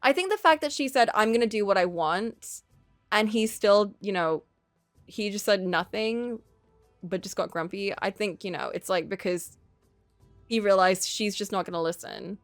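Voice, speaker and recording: A woman's voice: medium pitch, normal speed, no room sound, faint music behind. A woman streaming casual, opinionated commentary on a story game, thinking aloud in a conversational way.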